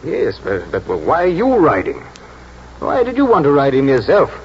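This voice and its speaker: A man playing a formal, halting chairman of a racing committee, in a 1940s radio drama style. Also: medium pitch, huge pitch swings. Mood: flustered, stern. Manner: halting, official. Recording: dull, hissy 1940s radio recording.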